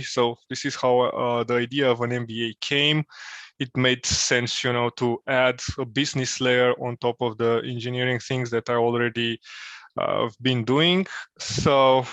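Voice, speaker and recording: A man's voice: mid-range voice, fairly bright tone, clean tone, dry recording. Man, dull dry call audio. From Romania. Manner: thoughtful, many filler pauses. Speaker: interview guest. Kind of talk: casual career interview.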